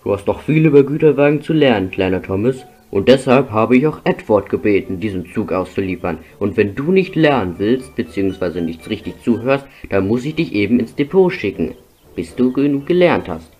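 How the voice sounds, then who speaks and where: A man's voice: on the low side, lively intonation, brisk, a little mellow. A man voicing a stern, reproachful middle-aged railway boss in a cartoon dub. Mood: stern scolding. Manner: reproachful, authoritative.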